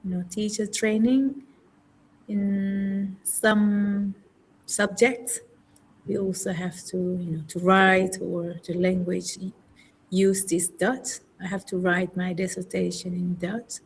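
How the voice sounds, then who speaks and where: Slow-spoken young woman. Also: mid-range voice, little pitch movement, a little hiss or hum, rough recording. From Indonesia. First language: Indonesian. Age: young adult. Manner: thoughtful, hesitant with self-corrections. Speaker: university student, trainee teacher. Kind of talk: casual conversation practice.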